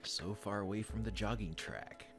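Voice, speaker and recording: Man playing a deep-voiced, eager obsessive male stranger. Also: normal speed, close to an everyday voice. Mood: friendly, probing. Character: obsessive, shyly eager young male stranger claiming boyfriend. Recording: music loud behind the voice. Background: music.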